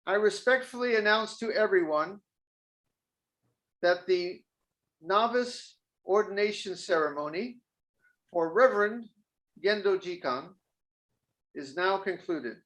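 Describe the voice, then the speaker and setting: A man leading a Zen ordination ceremony, speaking gently and formally with a few halting fillers. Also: high voice. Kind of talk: ceremonial officiating speech. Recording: echoey and distant with faint noise.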